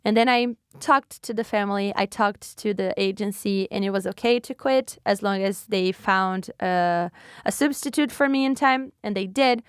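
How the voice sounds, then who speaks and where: Chatty Brazilian woman podcaster, smooth voice. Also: mid-range voice, normal speed, dry recording, clean studio sound. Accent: Brazilian Portuguese. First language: Portuguese. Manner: chatty, reflective, frequent fillers and restarts. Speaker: podcast host. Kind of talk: solo podcast monologue.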